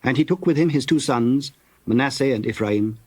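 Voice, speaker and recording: A man narrating scripture from a script in a measured, solemn storytelling manner. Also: mid-range voice, a little mellow, slightly rough, dry recording.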